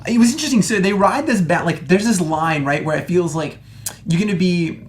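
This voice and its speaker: A man's voice: high-pitched, fairly quick. A man chatting casually on a film review panel, rambling through his points, restarting often and checking in for agreement. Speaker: talk show panelist.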